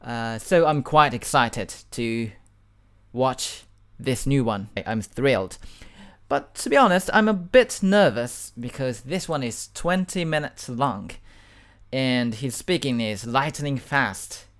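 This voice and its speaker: Unhurried speaker. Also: mid-range voice, expressive. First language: Japanese. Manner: hesitant, halting, with repeated words and fillers. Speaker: video reactor. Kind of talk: casual reaction commentary.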